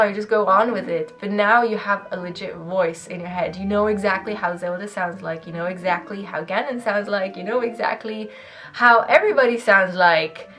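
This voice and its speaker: A woman's voice: mid-range voice, a bit bright. Candid woman vlogging. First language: Swedish. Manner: rambling, candid, laughs between phrases. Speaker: YouTuber. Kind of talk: casual vlog monologue.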